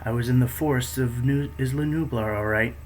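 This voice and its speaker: A man reading his own story aloud in a plain way, his voice jumping higher for the quoted thoughts. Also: fairly quick, a little mellow, slight background noise. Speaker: fanfiction reader.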